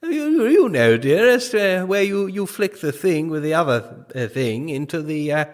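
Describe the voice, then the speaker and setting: Slow, dithering bumbling king. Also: on the high side, sing-song. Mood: flustered. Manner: stammering, dithering, giggly. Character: vague, bumbling, childishly jolly king. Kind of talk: comic audio drama acting.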